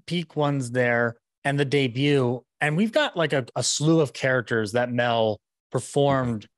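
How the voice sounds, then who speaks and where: A man in his thirties hosting a casual panel discussion, talking in a halting, enthusiastic way. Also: medium pitch, no room sound, clean studio sound. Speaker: podcast host and moderator. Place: small room indoors, video call. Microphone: podcast mic on boom arm, headset.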